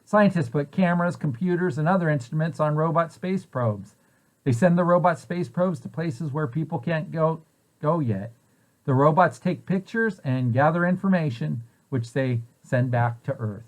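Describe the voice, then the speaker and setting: A man with a fairly high-pitched voice reads a picture book aloud for young children. His delivery is explanatory, as an educator would give it, setting out questions and their answers. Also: normal speed, low-quality recording. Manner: explanatory, poses questions then answers them. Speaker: preschool site director reading aloud to children.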